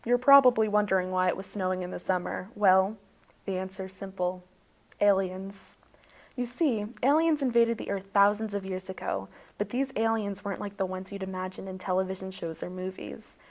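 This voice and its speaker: A woman's voice: medium pitch, normal speed. A woman hosting a video, narrating a tongue-in-cheek bedtime story in a mock-serious, dramatic way.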